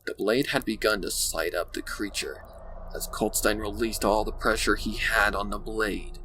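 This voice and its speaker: A man narrating a dark scripted story in a dramatic, ominous and deliberate manner. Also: on the low side, a little hiss or hum, rough recording.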